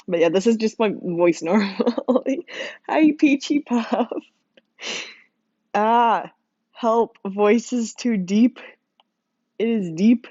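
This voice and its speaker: A young woman speaks with a hoarse, strained voice, as if she has been shouting for days. She rambles casually to her viewers, laughing often between her thoughts. Her voice comes through a dull, lo-fi mic with a dry, close sound. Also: mid-range voice, no room sound. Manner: giggly, laughs often, many fillers. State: hoarse, losing voice from screaming. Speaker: livestreamer drawing art.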